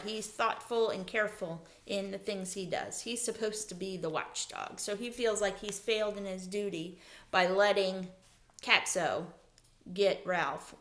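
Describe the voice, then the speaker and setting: A woman's voice: medium pitch, fairly bright tone. A middle-aged woman teaching a read-aloud lesson to children, explaining carefully, stressing key words and checking in as she goes. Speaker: elementary school teacher.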